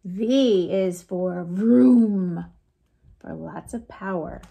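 An American woman in her forties hosting a read-aloud storytime for young children, in an animated, sing-song way with playful sound effects. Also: mid-range voice, drawn-out words, vocal fry, breathy edge. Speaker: children's librarian, storytime host. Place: small lamp-lit room at home.

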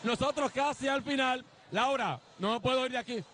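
A man in his thirties with a Dominican accent, reporting live and loudly into a handheld mic on an outdoor basketball court. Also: very high voice. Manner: loud, energetic, drawn-out emphasis. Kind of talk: live on-location TV interview. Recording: noisy crowd outdoors.

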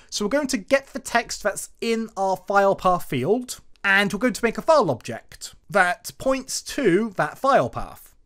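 Coding screencast presenter, very animated intonation, explains each step as it is typed. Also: mid-range voice. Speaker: programming tutorial presenter. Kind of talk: explanatory screencast tutorial, narrating code aloud.

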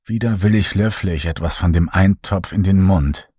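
A man reading a first-person horror story in a slow, hushed, brooding way. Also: low-pitched, husky, dry recording, studio quality. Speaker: audiobook narrator.

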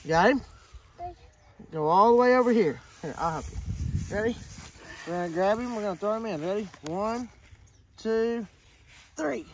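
A man's voice: high-pitched, moderate pace, long pauses, slight background noise. A man in his thirties with a Kentucky accent, patiently talking kids through fishing at a farm pond, recorded on a handheld phone outdoors. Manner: patient, encouraging, coaching kids step by step. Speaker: fishing vlogger, uncle. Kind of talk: casual outdoor vlog. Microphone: handheld phone camera.

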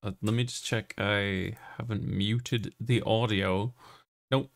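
A man's voice: on the low side, fairly even intonation, takes it slowly, gravelly. A young man streaming a game, chatting casually and informally as he muses aloud into a close mic. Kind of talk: casual chat, let's play commentary.

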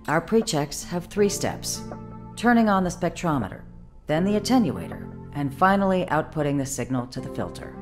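An actress playing a busy, friendly researcher in an anime game, giving informal, clear-cut instructions. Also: female voice, low voice.

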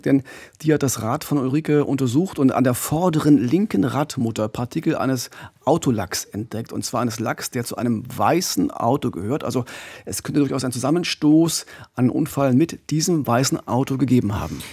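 A man's voice: medium pitch, a little fast, darkish tone, breathy edge, no echo. A middle-aged man co-hosting a true-crime podcast, telling a case conversationally into a close studio microphone. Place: small indoor podcast studio. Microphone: close studio condenser microphone with pop filter.

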